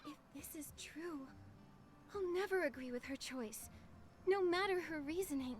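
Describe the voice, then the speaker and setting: High-voiced, earnest young game heroine. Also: female voice. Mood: solemn, resolved. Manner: earnest, measured, sincere. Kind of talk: scripted video game dialogue.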